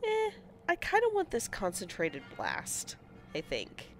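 A woman's voice: mid-range voice, huge pitch swings, takes it slowly, a bit bright, slight background noise. A woman with a stuffy cold voice, casually commentating a game and reading menu options aloud. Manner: reads game menus aloud and muses over options. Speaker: gaming channel host. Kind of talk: casual let's-play commentary.

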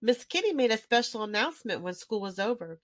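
Animated woman reading a children's storybook. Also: fairly high pitch, fairly quick, no echo. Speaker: children's book reader. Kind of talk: scripted storybook read-aloud.

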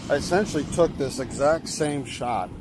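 Middle-aged man photographer, relaxed vlog chatter, outdoors by the surf, audible wave noise behind. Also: mid-range voice, moderate pace. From Portland, Maine, USA. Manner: relaxed, explaining as he works, many fillers and restarts. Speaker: landscape photographer. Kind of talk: casual vlog narration while shooting. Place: rocky beach outdoors at dawn, waves behind. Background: surf and ambient noise.

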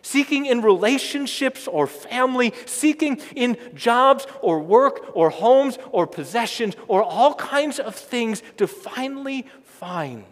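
Middle aged guy pastor preaching, really slow, big swings in pitch, echoing church. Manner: emphatic, repetitive phrasing, swelling loud then dropping soft. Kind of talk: sermon. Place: large church sanctuary, indoors. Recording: long church reverb.

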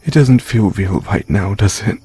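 A man performing an intimate comfort roleplay as a gentle boyfriend consoling his grieving partner, speaking in a soothing, tender, hushed way. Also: fairly low pitch, animated, normal speed.

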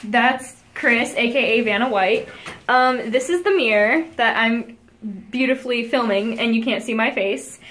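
Bubbly high-voiced young woman vlogger. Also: measured pace. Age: young adult. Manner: bubbly, giggly, excitable. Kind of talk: casual vlog chat. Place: car, then small hotel room. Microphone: phone held at arm's length.

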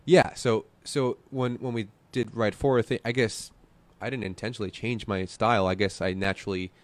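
Male guest singer, low voice, relaxed, chuckles a lot, lots of "you know", small studio desk mic. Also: normal speed. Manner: laid-back, laughs often, fills with "you know". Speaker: band vocalist guest. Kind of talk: casual podcast chat. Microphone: desk mic with headphones.

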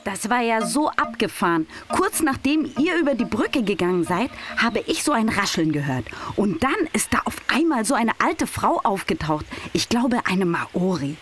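A woman playing a curious, excitable teenage girl in an audio drama, with a slangy, youthful manner. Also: medium pitch, normal speed, few pauses, soft background music, lightly acted. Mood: excited.

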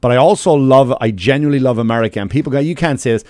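Irish guy comic on a podcast, talks fast, swears a lot, really drives his points home. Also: mid-range voice, a little mellow, no room sound. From Ireland. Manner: emphatic, heavy swearing. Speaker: comedian. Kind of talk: casual podcast storytelling. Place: small podcast studio. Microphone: close studio microphone with headphones.

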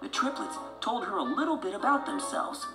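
A woman's voice: on the high side, very animated, a little fast. A woman narrating a children's storybook from a script as a read-along. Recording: roomy sound with music behind.

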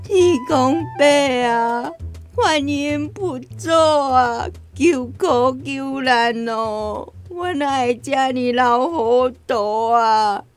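A woman acting a flustered, anxious elderly Taiwanese grandmother in a radio drama, lamenting in a drawn-out way. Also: high voice, faint music behind. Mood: tearful wailing. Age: older.

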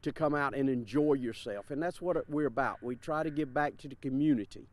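A man's voice: medium pitch, brisk, a little mellow. A man in his fifties, a community organizer giving an informal interview outdoors. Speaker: fair board vice-president. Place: open field outdoors.

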